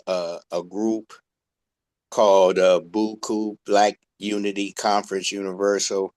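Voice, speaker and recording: A man talking casually as a co-interviewer, halting and rambling as he goes. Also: on the low side. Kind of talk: casual conversation.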